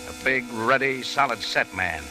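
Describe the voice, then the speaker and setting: Casting: man playing wry American cafe owner, mid-pitched, lively inflection, clipped hard-boiled radio drama over music. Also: moderate pace, lightly acted. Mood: wry, weary. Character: world-weary, wry male cafe owner, adventurer narrator. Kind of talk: 1940s radio acting, first-person narration.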